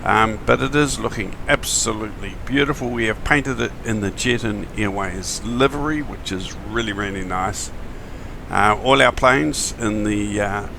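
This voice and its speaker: A man, his voice gravelly and croaky in the morning, chatting casually and with many fillers as he streams a flight simulator. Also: on the low side, moderate pace, noisy background. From New Zealand. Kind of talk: casual live-stream chat.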